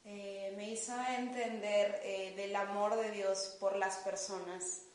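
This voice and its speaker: A young Peruvian woman in her twenties giving a personal testimony in short phrases, pausing for an interpreter, with a Peruvian Spanish accent. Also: mid-range voice, little pitch movement. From Peru, Lima. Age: 20s. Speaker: new mission school student. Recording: echoey hall.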